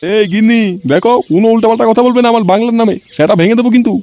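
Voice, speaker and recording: A man's voice: very high voice, rapid-fire, dry recording, lo-fi sound. A man voicing a crude, whiny, blustering liquor-loving husband in a comedy cartoon. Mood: indignant. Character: boastful, crude husband who loves his liquor. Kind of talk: amateur comedy cartoon voice acting.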